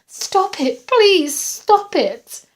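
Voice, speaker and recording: Woman playing a frightened, high-voiced teenage girl. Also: animated, moderate pace, darkish tone, lightly acted. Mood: frightened, pleading. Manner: pleading, weak, breathless. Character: frightened, vulnerable teenage girl hospital patient. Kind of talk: suspense audiobook character.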